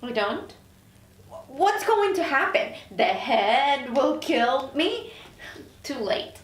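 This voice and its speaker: A proud, incredulous career woman acted by a woman in a drama read-through. Her voice is high and edged with sarcasm. It sounds roomy and sits well back from the mic. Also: very animated, slow pace, full character voice. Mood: incredulous, sarcastic. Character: proud, indignant career woman newly arrived in hell. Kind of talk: script table read. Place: echoey room, recorded from off. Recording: roomy, distant mic, faint noise.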